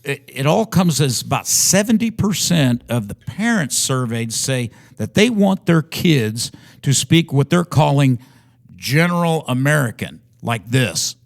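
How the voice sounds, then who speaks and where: A man's voice: mid-range voice, big pitch swings, a little slow, vocal fry. A man co-hosting a podcast in casual chat, with a folksy, bantering way of talking. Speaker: podcast co-host.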